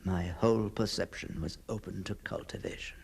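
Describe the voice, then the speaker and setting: A man's voice: sits low, steady tone, normal speed, vocal fry, breathy edge, exaggerated voice. An actor playing an obsessed, brooding man who stalks apparitions, telling his ghost story in a theatrical, intense monologue. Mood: proud, absorbed. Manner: theatrical, hushed passages swelling into fervent declamation. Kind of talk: dramatic first-person ghost story monologue.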